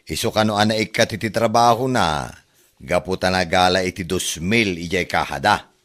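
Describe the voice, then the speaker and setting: A man with an Ilocano accent acting a gossipy, animated neighbourhood rumour-spreader in a radio comedy drama. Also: sits low, normal speed. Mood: gossipy, scornful.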